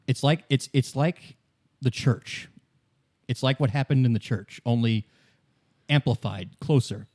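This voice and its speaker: A man running a tabletop game, narrating scenes in a casual, halting, conversational way. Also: mid-range voice, darkish tone. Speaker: game master.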